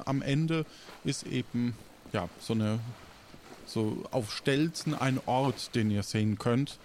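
Halting male game master, faint noise. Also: mid-range voice. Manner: halting, casual. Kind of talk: improvised adventure narration.

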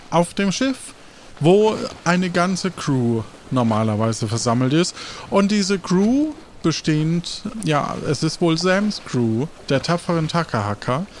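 A man taking part in an improvised pirate role-play, setting scenes and speaking up in them. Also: a little high. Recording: clearly noisy background.

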